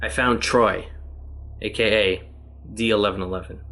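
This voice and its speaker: A worn-out man lost for countless years in a strange world, his words coming low and steady, as a man reads him in his own voice. The tone sits a little deep and never strains. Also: normal speed. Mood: weary. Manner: plain, even reading of written tablets. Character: weary male test subject lost ages in another world.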